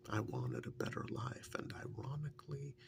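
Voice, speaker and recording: A man softly playing an embittered yet devoted former captive in an ASMR role-play, half-whispering in a rambling, confiding way. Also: wildly swooping pitch, normal speed, exaggerated voice. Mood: fond, wry. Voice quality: soft, breathy, often half-whispered. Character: embittered former kidnap victim, now devoted male partner. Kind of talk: soft-spoken ASMR role-play monologue. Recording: faint low rumbling background noise.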